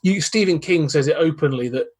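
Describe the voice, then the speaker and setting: Male podcast guest, husky and a touch high, hesitant casual talk. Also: brisk, a little mellow. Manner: hesitant, lots of fillers and restarts. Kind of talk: casual nerd chat.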